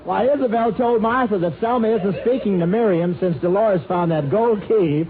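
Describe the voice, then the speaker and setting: A man's voice: high voice, normal speed, phone-quality sound, natural voice. A man playing a breezy, teasing, gossipy pal in a 1950s radio comedy sketch. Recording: noisy band-limited 1950 radio, loud audience.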